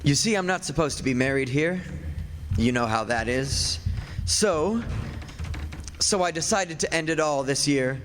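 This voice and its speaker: Man acting a high-pitched, henpecked radio-play husband. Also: animated, unhurried, long pauses. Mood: resolved, nervous. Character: hapless, henpecked centuries-old husband working as university professor. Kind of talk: old-time radio play acting, narrating confidingly to listeners. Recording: stage radio play with music.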